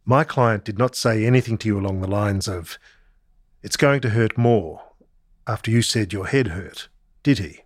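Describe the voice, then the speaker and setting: A man's voice: on the low side, moderate pace, no echo, studio quality, natural voice. An actor playing a sceptical, accusatory male barrister, making assertive challenges in a re-enacted cross-examination. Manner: assertive statements ending in challenges.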